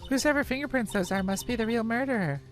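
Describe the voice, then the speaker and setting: A woman's voice: on the high side, fast talker, over music, close to an everyday voice. A woman voicing an earnest, inquisitive young detective's assistant in amateur video game dubbing. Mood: earnest concern. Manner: earnest, dramatic line reading. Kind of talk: amateur voice acting of game dialogue.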